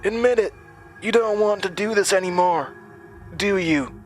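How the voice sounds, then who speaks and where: A man's voice: sits high, moderate pace, soft background music, voice pushed up, natural voice. A man playing a cruel, crazed camp director in a horror audio drama, taunting with sinister relish. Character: cruel, crazed male camp director, coldly menacing and gleeful.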